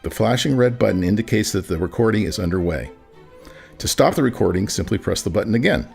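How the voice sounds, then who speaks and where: A man with a fairly low voice narrates a software demonstration. He reads from a script as a tutorial presenter. Music plays behind him. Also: animated, fast talker, gravelly. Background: background music.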